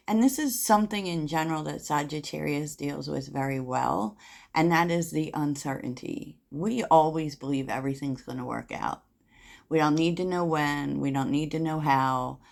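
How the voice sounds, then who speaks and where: A woman with a soft voice giving a casual tarot reading, chatty and rambling with many fillers, often laughing at her own jokes. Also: deep voice, fairly even intonation, moderate pace, gravelly. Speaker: tarot reader.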